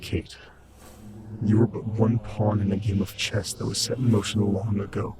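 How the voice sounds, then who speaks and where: A commanding otherworldly servant acted by a man in an audio drama, once human and now impatient and grave. The voice sits fairly low and speaks in formal, urgent, cryptic phrases, under very loud rumbling background noise. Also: level tone, normal speed, vocal fry. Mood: cryptic, instructive.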